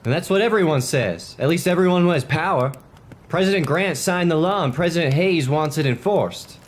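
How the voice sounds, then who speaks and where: A man's voice: a little high, brisk, lightly acted. A man playing a hard-nosed, by-the-book cavalry soldier in a historical drama, admonishing in a folksy, matter-of-fact way. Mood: warning.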